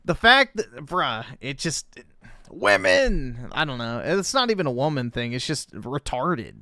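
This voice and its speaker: A man streaming and reacting to clips, talking in a blunt, crude, sarcastic and deadpan way. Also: mid-range voice, a little slow, no echo, studio quality. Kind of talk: casual stream commentary.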